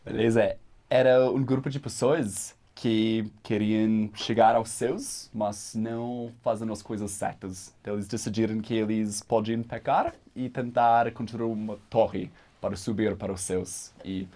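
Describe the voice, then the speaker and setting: A man, a native English speaker with an English accent, calmly answering questions as a guest in a religious lesson. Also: lowish voice, a little slow. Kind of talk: casual discussion.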